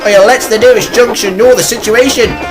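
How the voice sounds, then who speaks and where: A man's voice: sits high, fairly even intonation, fairly quick, over loud music, voice pushed up, lightly acted. A man voicing a stern, urgent railway worker in a children's story. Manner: urgent, authoritative.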